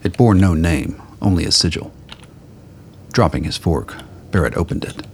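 Deep-voiced male radio drama narrator. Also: lively intonation, moderate pace, slight background noise. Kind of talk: scripted radio play narration.

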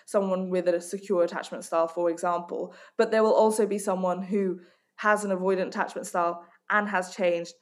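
A woman's voice: on the low side, fairly even intonation, brisk. A woman from Hong Kong, working as a life coach and author, giving direct and emphatic answers in a podcast interview.

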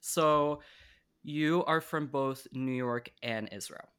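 A young man in his twenties hosts a casual podcast interview, talking eagerly and restarting his sentences as he goes. His voice sits at a middle pitch for a man. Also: slow pace, a bit bright, clear, resonant tone. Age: 20s. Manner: chatty, enthusiastic, with frequent restarts. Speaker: podcast host.

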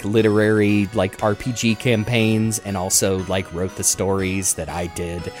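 A man hosting a casual chat show, talking in an informal, jokey and rambling way. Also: medium pitch. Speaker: podcast host.

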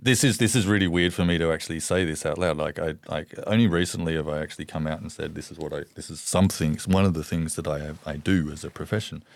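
A man with a very deep voice and an Australian accent, a podcast guest talking candidly and hesitantly, with many ums and repeated words. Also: fairly even intonation, fast talker, vocal fry, hardly any pauses, no echo. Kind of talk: candid interview conversation.

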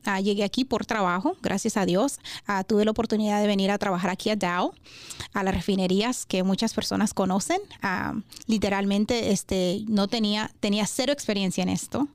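A woman's voice: medium pitch, fairly even intonation, brisk, dry recording. A woman, a podcast guest talking casually about herself and mixing in English words. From born in Honduras, grew up in Kentucky from age nine. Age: 30s. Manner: mixes English words into Spanish. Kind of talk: casual personal storytelling.

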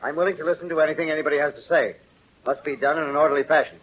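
An actor playing a stern but fair judge in an old radio courtroom drama, clipped and impatient. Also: male voice, on the high side, steady tone, very fast, natural voice. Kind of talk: 1930s radio acting. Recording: tinny, noisy 1930s radio recording.

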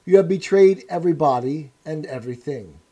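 A man voicing a cold, menacing male interrogator who speaks in a measured, commanding way. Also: a little high, warm tone, muffled recording, close to an everyday voice.

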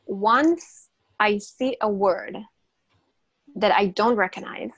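A woman's voice: medium pitch, slow pace, husky, no echo. A woman whose first language is not English, explaining nutrition as a health coach, emphatic and repeating herself with frequent restarts and fillers. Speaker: natural health coach, podcast guest. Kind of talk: casual interview explanation.

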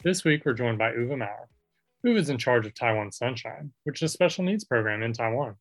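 A man hosts an interview podcast in a mid-pitched voice. Faint music plays along with him. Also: expressive, a little fast. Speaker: podcast host.

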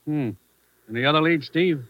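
An actor playing a brisk commissioner, the hero's boss, in an old-time radio drama. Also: male voice, fairly high pitch, dry recording, natural voice. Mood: brisk, surprised. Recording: dull, lo-fi old radio recording.

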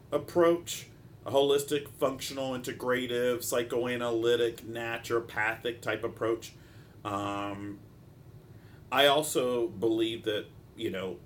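A man with a stopped-up nose hosting a solo podcast in an informal, halting and discursive way, often drifting into asides. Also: mid-range voice, slight background noise. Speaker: podcast host, mental health practitioner.

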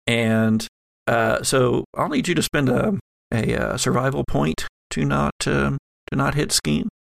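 A man running a tabletop horror game as game master, improvising the narration haltingly and thinking aloud. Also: mid-range voice, creaky, no room sound.

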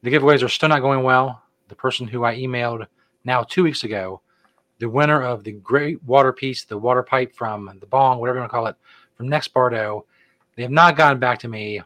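A man's voice: medium pitch, fairly quick, a bit bright, dry recording. A middle-aged man co-hosting a casual podcast, laid-back and joking as he rambles through announcements. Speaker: podcast co-host. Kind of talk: casual chat.

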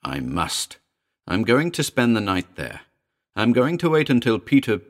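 A man's voice: medium pitch, big pitch swings, measured pace, voice pushed up. A man voicing an agitated, commanding male character in a dramatic audiobook, fervent and emphatic. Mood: insistent. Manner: fervent, emphatic, urgent pleading.

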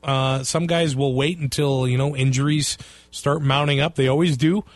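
A man co-hosting a casual sports podcast, talking in a relaxed, halting way with lots of fillers. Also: medium pitch, no room sound. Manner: relaxed, hesitant with many fillers. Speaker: podcast co-host.

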